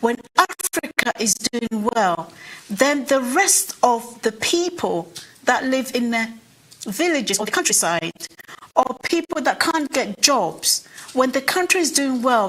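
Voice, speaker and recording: A Ghanaian woman speaks straight to her listeners in an earnest, pleading tone, her voice sitting a little high. She leans on repetition to drive each point home. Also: fairly bright tone, rough recording. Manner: earnest, pleading, repeats phrases for emphasis. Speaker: commentator. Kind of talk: impassioned direct-to-camera address.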